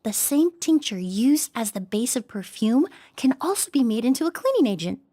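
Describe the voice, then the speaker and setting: A composed perfumer-forensic expert. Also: female voice, fairly high pitch, very animated, normal speed, mellow. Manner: precise, composed, explanatory. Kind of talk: video game voice acting. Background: game music.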